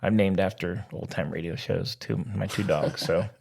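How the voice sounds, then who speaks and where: A man's voice: sits low, moderate pace, gravelly, a little mellow, breathy edge. A man co-hosting a podcast in casual banter, playful and exclamatory, with a folksy, informal way of talking. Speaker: podcast co-host.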